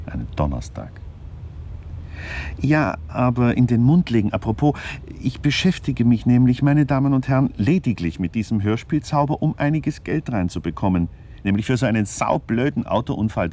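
Radio play narrator: brazen, wry author, dark mellow tone, very varied melody, digressive, addresses the audience. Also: male voice, sits low, a little hiss or hum. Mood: narrating. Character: wry, brazen author openly after money.

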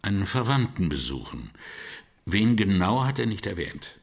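A grave male witness in a radio crime drama. His voice is very low and husky, with crackling fry, and his intonation moves widely as he answers questions. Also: big pitch swings, normal speed, heavily acted. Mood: subdued.

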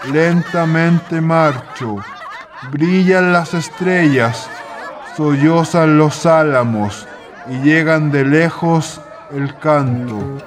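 A man playing a mournful poet, reciting verse plaintively in a radio drama. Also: fairly high pitch. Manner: slow, plaintive, drawn-out recitation. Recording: noisy, with outdoor crowd ambience. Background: crowd, outdoor town ambience.

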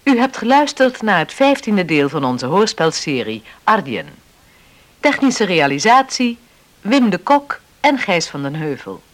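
A woman's voice: mid-range voice, sing-song, unhurried. A woman reading scripted closing credits as the announcer of a Dutch radio drama.

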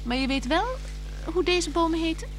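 An actress playing a playful, flirtatious married woman in a radio play, teasing and coaxing, moving between hushed words and exclamations. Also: very high-pitched, moderate pace, noisy background. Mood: teasing, wistful. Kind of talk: 1960s Dutch radio play acting. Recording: 1960s radio drama with music.

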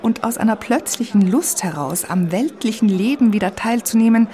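A woman's voice: a little high, animated, moderate pace, mellow. A woman reading a scripted first-person story in the present tense, as the narrator of a radio feature. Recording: music and bar crowd behind the voice. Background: music and crowd chatter.

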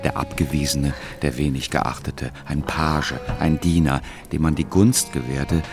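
A man narrating a literary radio play as a measured storyteller. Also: a bass voice, fairly even intonation, music in the background.

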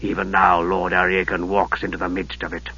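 A man voicing a frail, sardonic old archmage in a soft, low voice that drops to whispers and a slow, cutting delivery, on a dull lo-fi recording. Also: monotone, vocal fry, breathy edge, full character voice. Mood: cold.

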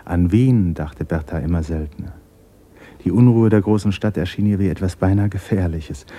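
A man narrating a literary radio play in a measured, sober manner. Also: sits low, dark tone.

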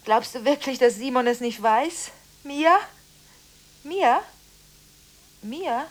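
A distraught woman talks on the telephone in a fairly high voice. She is agitated and halting, repeating words and breaking off, full of contradictions, in the manner of a radio drama monologue. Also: expressive, heavily acted. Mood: desperate, accusing. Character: distraught, contradictory woman making frantic phone calls. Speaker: lead actress in a radio play.

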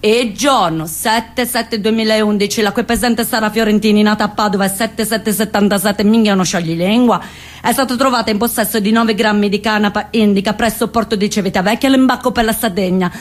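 A man's voice: high voice, fairly even intonation, fairly quick, close to an everyday voice. A woman playing an unfriendly, scornful male police officer on stage, reeling off figures in an officious manner. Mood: bureaucratic drone.

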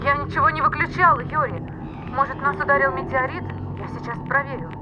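Female actor for feisty, hot-tempered space crewwoman, high voice, audio drama, over clear background noise. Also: a little fast, close to an everyday voice. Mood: puzzled. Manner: teasing, feisty. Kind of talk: Russian audio drama acting. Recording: muffled narrow sound, clear noise.

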